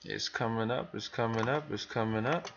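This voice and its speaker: A man giving a casual, rambling software tutorial for new hires, thinking aloud as he talks through each step. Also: mid-range voice, normal speed, vocal fry, lo-fi sound, a little hiss or hum. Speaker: business trainer demonstrating software to new hires. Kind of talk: casual screen tutorial, talking through steps.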